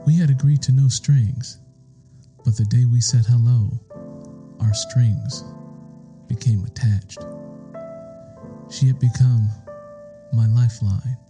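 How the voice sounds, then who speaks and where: A man's voice: medium pitch, steady tone, slow pace, long pauses, music in the background, natural voice. A man narrating an audiobook as a guarded, lovestruck young man telling of his own romance. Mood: tender, wistful.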